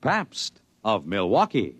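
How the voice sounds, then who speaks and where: A man's voice: on the low side, wildly swooping pitch, slow. A man announcing for 1950s network radio in a theatrical, emphatic, dramatic style. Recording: 1950s radio broadcast with music. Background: music.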